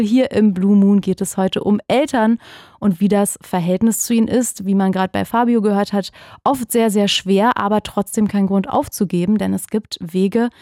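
Woman radio host, chatty and friendly, laughs a lot, mid-pitched, dry studio sound. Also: warm tone, no room sound. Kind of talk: late-night radio call-in talk. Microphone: studio microphone.

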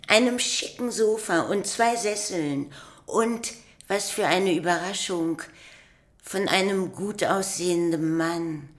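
A woman narrating a literary radio play in a deliberate storytelling manner. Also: lowish voice.

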